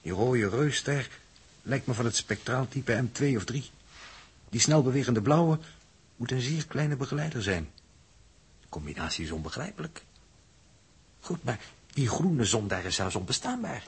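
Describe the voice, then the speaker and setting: A man's voice: mid-range voice, big pitch swings, normal speed, pauses often, slightly rough, full character voice. An actor playing an analytical, logic-minded male astronaut, speaking precisely in 1960s Dutch radio drama style. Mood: puzzled analysis. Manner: precise, reasoning. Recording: noisy early-1960s radio drama recording.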